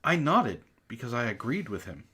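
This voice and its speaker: Book club host, bright tone, animated pitch, exasperated, profane, casual banter, mocking read-aloud. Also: moderate pace, slightly rough.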